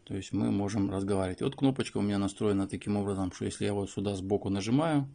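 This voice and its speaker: A man's voice: lowish voice, fast talker, gravelly, dark tone, slight background noise. A man giving an informal product review and tutorial, explaining things in a matter-of-fact way. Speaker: phone reviewer.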